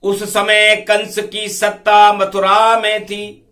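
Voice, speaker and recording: High, slow-chanting male religious storyteller. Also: monotone, pushed and bright. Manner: declamatory, drawn-out verse lines broken by quick asides. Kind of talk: devotional storytelling, half-sung rhyming verse mixed with spoken explanation.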